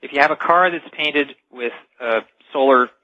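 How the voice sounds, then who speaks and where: A man's voice: mid-range voice, normal speed, no room sound. A man speaking as an expert guest in a radio interview, explaining things emphatically and step by step. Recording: dull lo-fi radio sound.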